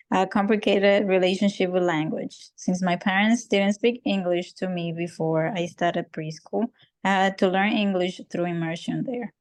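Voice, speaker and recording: Participant, woman, lowish voice, halting read-aloud, dry close sound. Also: darkish tone, dry recording. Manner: reads haltingly with apologies. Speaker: workshop participant. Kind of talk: reading a passage aloud.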